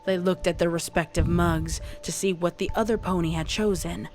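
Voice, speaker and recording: A woman's voice: on the low side, steady tone, moderate pace, darkish tone, faint music behind. A woman narrating a scripted story as the storyteller.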